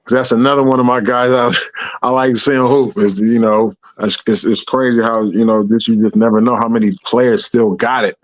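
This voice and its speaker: A man's voice: mid-range voice, flat delivery, normal speed, no room sound. A man hosting a podcast in casual chat, laid-back and slangy. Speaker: podcast host. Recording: dry, dull lo-fi sound.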